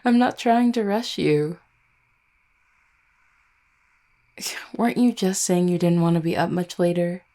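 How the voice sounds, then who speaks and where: Woman acting a mellow, low-voiced vampire girlfriend. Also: steady tone, normal speed, natural voice. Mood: teasing, coaxing. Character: affectionate, gently teasing vampire girlfriend, low-key and caring. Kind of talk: intimate roleplay audio, soft conversational acting.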